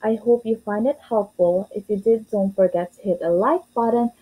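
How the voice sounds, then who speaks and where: A woman's voice: medium pitch, normal speed, warm tone, slightly rough, poor audio. A woman who works as an online English tutor, reading a scripted tutorial and self-introduction in a careful, presentational way.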